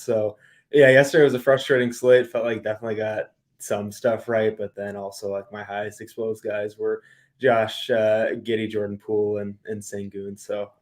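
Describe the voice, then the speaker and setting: A man giving blunt, sarcastic fantasy sports analysis in casual chat. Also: on the low side. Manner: sarcastic, blunt, flat delivery.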